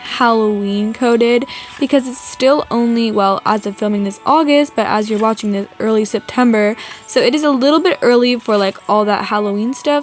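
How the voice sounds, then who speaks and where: A woman from Canada doing a casual, chatty voice-over as a video host, in informal speech peppered with "like". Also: on the high side. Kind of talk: casual gaming voice-over narration.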